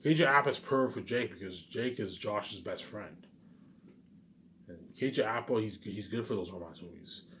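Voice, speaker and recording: A man with a fairly low voice rambles casually, as if vlogging to his followers. He muses aloud and circles back to repeat his phrases. The amateur recording carries faint background noise. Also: normal speed, fairly bright tone. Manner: repetitive, musing aloud.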